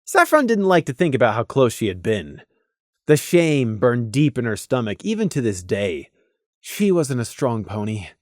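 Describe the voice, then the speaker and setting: An expressive storyteller reads scripted fiction aloud. The delivery is dramatic, with a very lively, mid-pitched melody and deliberate pauses. The sound is dry, with little room in it. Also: no echo. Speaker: audiobook narrator.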